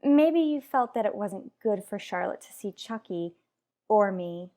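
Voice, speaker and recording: A woman's voice: mid-range voice, expressive, unhurried, dark tone. A woman playing an anxious, protective mother in an old-time radio soap, earnest and troubled, questioning as she goes. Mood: hurt, earnest. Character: anxious, wounded mother protective of her young son.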